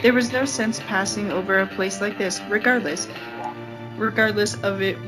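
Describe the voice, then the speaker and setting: A woman's voice: mid-range voice, steady tone, normal speed, music in the background, poor audio. A woman podcast co-host reading a story aloud as an amateur, stumbling and repeating phrases as she goes. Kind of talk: amateur read-aloud of fan fiction.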